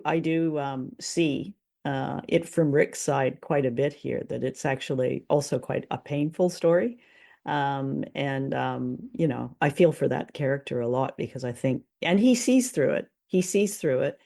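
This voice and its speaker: A woman's voice: low-pitched, unhurried, gravelly, dark tone. A woman co-hosting a show, talking casually about a classic film. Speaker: podcast co-host.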